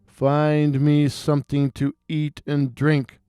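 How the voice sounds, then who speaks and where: Man voicing a slow, commanding walking corpse. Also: a little high, monotone, mellow, clean tone, no echo, clean studio sound. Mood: grim command. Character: commanding male walking corpse, blood-drinking and sinister.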